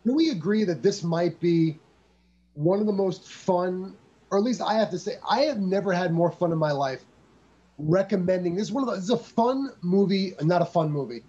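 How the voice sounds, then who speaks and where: A man casually reviewing a movie as a podcast co-host, excitable and swearing as he acts out his reactions. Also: high-pitched, moderate pace, lo-fi sound.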